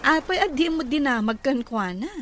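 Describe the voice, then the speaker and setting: A woman's voice: high-pitched, expressive, normal speed, background noise. A woman acting a nosy, gossiping office worker in an Ilocano radio comedy drama. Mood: curious, gossipy.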